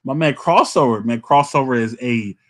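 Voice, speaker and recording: A man hosting a casual podcast, talking loosely and ending nearly every phrase with "man" as he shouts out players. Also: mid-range voice, moderate pace. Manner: laid-back, says "man" constantly, shouting out players. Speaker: podcast host.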